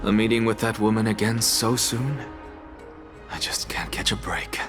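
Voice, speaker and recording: Male actor, sardonic male professor, lowish voice, resigned, dry delivery, music behind, game dialogue. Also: normal speed, natural voice. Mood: wry resignation. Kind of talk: video game acting.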